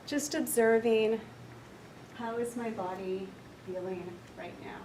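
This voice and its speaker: Soft-spoken woman guiding a meditation. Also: a little high, slight background noise. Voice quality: quiet voice. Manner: soft, slow, gentle and unhurried. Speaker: counsellor leading a workshop. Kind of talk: guided meditation in a workshop talk. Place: large echoey room with an audience. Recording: echoey room recorded from far off.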